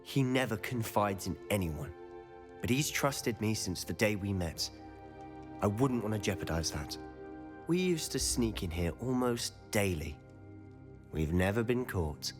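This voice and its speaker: This is a teenage boy wizard in a video game, confident and a little mischievous. He talks in a measured, confiding way, as if guarding secrets. Soft music sits behind the voice. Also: fairly low pitch, lively intonation. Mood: confiding, earnest. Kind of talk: video game dialogue acting.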